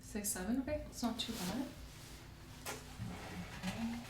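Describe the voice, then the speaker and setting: A soft-spoken woman playing a doctor in an ASMR medical roleplay, gently asking questions and giving step-by-step exam instructions. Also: fairly high pitch, expressive, unhurried. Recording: roomy echo, clear background hiss.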